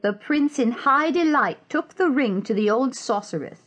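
A woman's voice: sits high, animated, normal speed, a bit bright. A woman narrating a dramatized fairy-tale audiobook in a scripted storytelling style.

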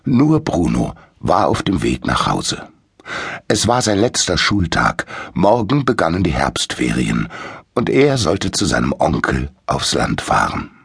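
A man reads a children's tale in a very deep, husky voice. His delivery is slow and full of dramatic swings in pitch, with a close, dry sound. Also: no echo. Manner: slow, expressive, dramatic storytelling. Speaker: audiobook narrator. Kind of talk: scripted children's story narration.

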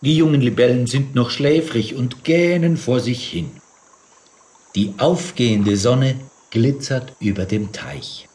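Male children's story narrator, medium pitch. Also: takes it slowly, darkish tone, slightly rough. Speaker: audiobook narrator.

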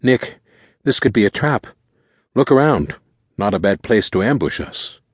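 A man's voice: on the low side, lively intonation, unhurried, breathy edge, no room sound, pitched above the performer's own voice. A man voicing a blunt, suspicious, hard-edged traveller in a thriller story, with a snarling, distrustful manner.

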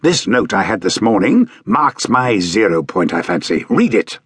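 Guy doing a world-weary british detective, theatrical, swoopy pitch, husky, audiobook style. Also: big pitch swings, moderate pace, fairly bright tone, dry recording, clean studio sound, heavily acted. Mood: weary disdain. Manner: languid, theatrical, precise diction. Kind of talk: audiobook character acting.

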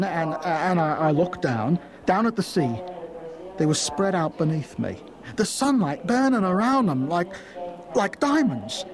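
Shaken pilot, high voice, very animated, insistent, stammering, radio play, crowd noise behind. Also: male voice. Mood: shaken, awed. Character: agitated male pilot recounting a ghostly sighting. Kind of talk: dramatized radio play monologue.

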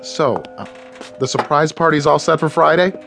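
An actor playing a friendly, easygoing office friend in a radio drama, casual and genial. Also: male voice, on the high side, normal speed, vocal fry, over music. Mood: genial, relaxed.

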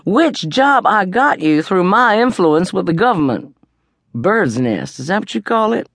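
A woman's voice: medium pitch, sing-song, a little slow, no echo, studio quality, pitched above the performer's own voice. A woman voicing a very old, rich grandfather who talks in a sulky, pompous way.

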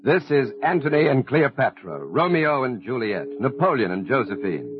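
A man's voice: medium pitch, sing-song, moderate pace. A man giving dramatic scripted narration in mid-century radio style, portentous and addressing the listener directly. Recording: dull vintage radio recording.

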